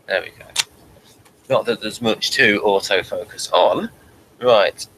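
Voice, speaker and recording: A man's voice: fairly low pitch, slow, vocal fry. A man hosting a casual TV review show, talking and reading out material. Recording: faint background noise.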